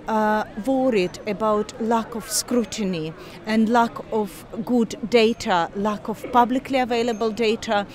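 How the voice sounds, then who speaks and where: A woman in her forties, originally from Ukraine, speaks English with a Ukrainian accent in an outdoor park interview, earnest and measured with frequent pauses. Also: mid-range voice. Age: 40s. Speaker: interviewee, scientist. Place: park outdoors, people milling behind.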